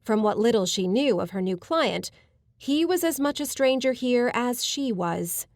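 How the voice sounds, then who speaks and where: A woman reading a novel as an audiobook narrator, with a measured, polished literary delivery. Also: fairly high pitch, lively intonation, dry recording, clean studio sound. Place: studio, dry and close.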